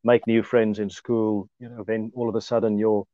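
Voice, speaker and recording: A man in his thirties from South Africa with an Afrikaans accent, speaking as an interview guest in a relaxed, hesitant way with many fillers. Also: fairly low pitch, moderate pace, no echo, highs cut off. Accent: Afrikaans-accented South African English. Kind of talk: casual conversational interview.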